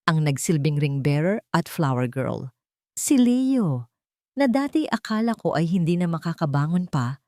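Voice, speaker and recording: Low-pitched woman narrating a romance story. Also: darkish tone, no echo, clean studio sound. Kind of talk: dramatic scripted first-person narration of a romance story.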